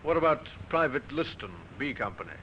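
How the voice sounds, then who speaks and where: Businesslike police inspector, muffled radio. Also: male voice, a little high, lively intonation, sharp tone. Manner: measured, businesslike questioning. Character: brisk, probing male Scotland Yard inspector. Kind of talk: old-time radio drama acting. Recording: muffled, noisy old radio broadcast.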